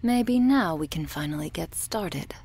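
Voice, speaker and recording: A cold, sadistic woman medic played by a woman in an ASMR roleplay. She speaks slowly and low, with a husky breath and condescending authority that carries quiet menace. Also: animated, exaggerated voice. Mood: falsely soothing. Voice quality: breathy, husky, often near whisper. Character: cold, sadistic, domineering woman medic running a captive operation.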